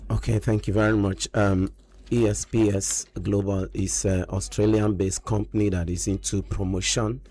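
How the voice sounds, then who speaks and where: A man's voice: on the low side, flat delivery, normal speed, warm tone. A man as a business guest on a radio interview, explaining formally.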